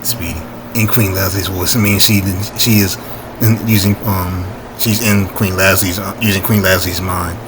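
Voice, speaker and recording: A man reading his own story informally, haltingly, with asides and frequent rereading to correct himself. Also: deep voice, steady tone, background noise.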